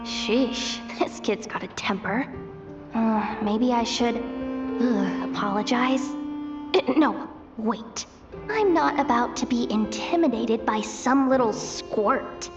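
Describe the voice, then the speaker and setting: High-voiced streetwise young informant. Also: female voice, slow, lo-fi sound. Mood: dismissive, flustered. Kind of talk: video game voice acting. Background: game music.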